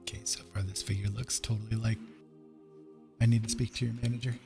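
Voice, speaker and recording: A man hosting a casual livestream as he paints, talking in an easygoing, halting, rambling way. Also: lowish voice, level tone, vocal fry, mellow. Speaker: streaming digital artist and host. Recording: music playing under the voice. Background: background music.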